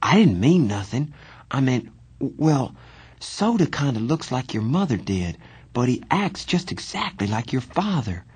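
Man playing a halting, earnest teenage boy, pitched up. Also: big pitch swings, darkish tone, breathy edge, exaggerated voice. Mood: hesitant, earnest. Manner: slow, halting, plain-spoken.

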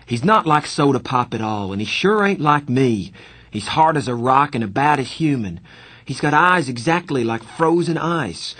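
Man acting teenage boy, husky, bitter, defensive, pitched up from the narration, audiobook dialogue. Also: lively intonation, slow pace, hardly any pauses. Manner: emphatic, defensive outbursts.

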